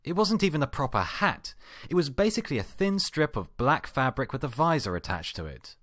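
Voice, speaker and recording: A man giving an amateur dramatic reading of a first-person story, with expressive, acted-out delivery. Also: fairly high pitch, fairly quick, dry recording, clean studio sound. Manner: expressive, acts out exasperated outbursts. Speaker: amateur fan fiction reader.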